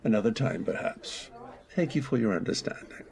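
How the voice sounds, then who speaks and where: A man playing a melancholy, reserved gentleman with formal, polite manners in a radio drama. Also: lowish voice, animated, normal speed, vocal fry. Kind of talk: old-time radio drama acting.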